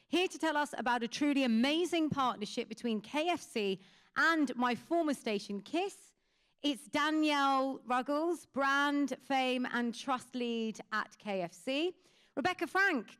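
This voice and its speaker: A woman's voice: sits high, fairly bright tone, clear, resonant tone. A woman presenting live at an event, introducing guests in an upbeat, emphatic way. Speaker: presenter, session chair. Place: conference stage.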